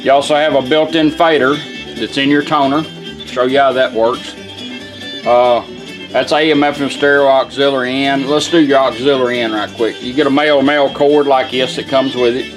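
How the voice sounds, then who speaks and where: A man with an average-pitched voice shows off a product in a casual, hands-on way. He talks plainly and informally, in a folksy manner. Faint music plays from a radio behind him. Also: steady tone, normal speed, rough recording. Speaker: product demonstrator, radio restorer.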